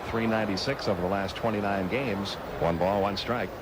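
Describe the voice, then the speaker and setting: Male baseball announcer, lower register, broadcast commentary, heavy outdoor background noise throughout. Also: fairly even intonation, brisk.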